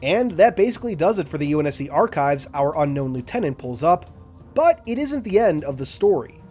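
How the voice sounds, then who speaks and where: A man hosting a lore video, narrating and analyzing in a measured, explanatory way. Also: a little high, big pitch swings, darkish tone, faint music behind.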